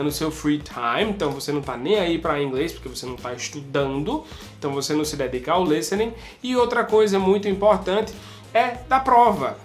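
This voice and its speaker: A Brazilian man with a slightly high voice gives an informal lesson as an exam prep teacher. He is conversational and emphatic, addressing students directly, with music playing softly behind him. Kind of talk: informal explanatory video lesson.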